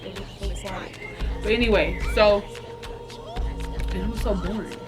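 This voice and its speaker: A woman vlogger telling a story casually and with animation, in a sassy, slangy way with drawn-out emphasis. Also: medium pitch, steady tone. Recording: music behind the voice. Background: background music.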